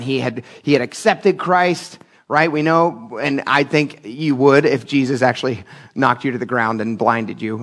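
Man, pastor, casual sermon, conversational, middle pitch, humorous asides. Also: creaky. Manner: casual, chatty preaching with asides and laughs.

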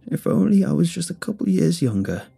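Male narrator as unhinged elderly woman, raised pitch, soft crackling delivery, creepily sweet, lecherous, horror audiobook villain. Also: big pitch swings, a little fast, creaky, husky, exaggerated voice. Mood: lewd, unhinged.

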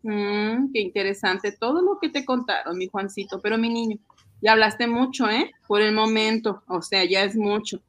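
A woman's voice: medium pitch, normal speed, fairly bright tone, close to an everyday voice. A woman with a Peruvian accent playing a fussy, affectionate housewife and mother in an amateur radio drama, coaxing and exclaiming. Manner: exclaiming, repetitive, coaxing, sighing. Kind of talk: amateur Spanish-language radio drama acting.

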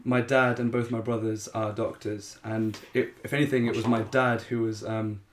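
A man's voice: fairly low pitch, level tone, moderate pace, reverberant, slight background noise. A man, a medical student chatting casually and easygoing on a podcast. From England. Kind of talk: casual chat.

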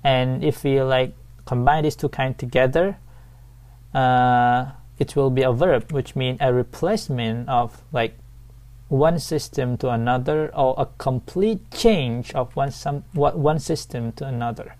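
A man's voice: mid-range voice, a little slow, a little mellow. A man hosting a casual podcast, with a hesitant delivery full of fillers like "uh" and "like". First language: Thai. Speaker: podcast host.

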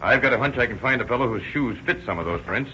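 An actor playing a determined motor cop in an American 1930s radio crime drama. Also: male voice, medium pitch, expressive, fast, bright, forceful tone. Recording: noisy, dull old radio recording.

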